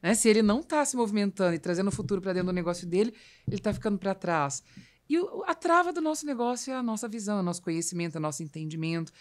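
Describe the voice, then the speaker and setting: A woman's voice: medium pitch, lively intonation, very fast. A Brazilian woman hosting a conversational business podcast, explaining her points emphatically. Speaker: podcast host.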